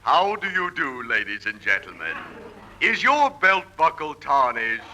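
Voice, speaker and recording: Piercing, grandiose radio announcer. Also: male voice, medium pitch, sing-song, a little slow, bright, forceful tone, exaggerated voice. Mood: hard selling. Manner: emphatic, salesmanlike. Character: grandiose, fast-selling male patent-medicine radio announcer. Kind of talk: 1940s radio commercial parody. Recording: faintly noisy 1940s radio recording.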